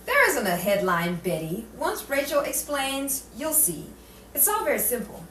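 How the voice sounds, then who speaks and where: A sensible, mildly scolding 1940s gal friend, acted by a woman in a radio comedy. The voice is high and animated. The recording is noisy and distant. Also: normal speed. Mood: placating. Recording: roomy, distant mic, noisy room.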